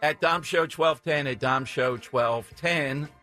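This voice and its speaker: Philly radio host, fairly high and bright, playful banter, faint music bed. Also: male voice, slow pace. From South Philadelphia. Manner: joking, teasing callers. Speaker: radio talk show host. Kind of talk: casual talk radio banter.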